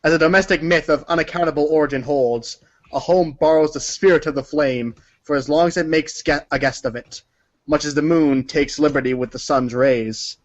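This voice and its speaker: A man with a fairly high voice narrates a story aloud. His delivery is dramatic and emphatic, like staged read-along theater. The recording sounds slightly dull and lo-fi. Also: moderate pace. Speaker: narrator of a group reading.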